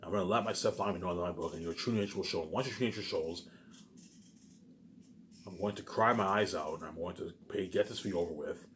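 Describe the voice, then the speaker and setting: A man speaks very quickly in a fairly low voice, rambling informally to his viewers. He circles back over the same phrases in an insistent, boastful way. There is noticeable noise behind him. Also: a bit bright. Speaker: video maker talking to viewers. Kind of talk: rambling casual monologue to camera.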